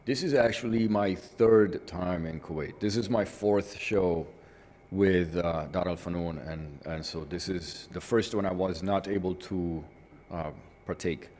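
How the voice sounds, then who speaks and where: A man's voice: low-pitched, a little slow, a little mellow. A middle-aged man from northern Italy, an artist being interviewed, answering in a reflective way with frequent "you know" asides. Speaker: guest artist. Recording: reverberant hall with faint ambience.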